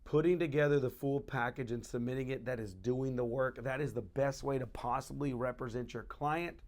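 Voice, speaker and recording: A man's voice: medium pitch, steady tone, moderate pace, a little mellow. A man speaking as a real estate coach, talking straight to fellow agents in a blunt, emphatic and informal way. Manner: blunt, emphatic, repeats points; informal, colloquial.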